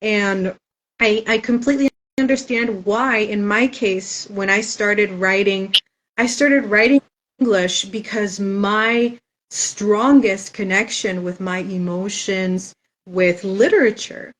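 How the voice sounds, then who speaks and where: A woman's voice: medium pitch, steady tone, slow pace, poor audio. A woman author whose first language is Spanish, chatting thoughtfully in a casual interview with frequent "um" fillers.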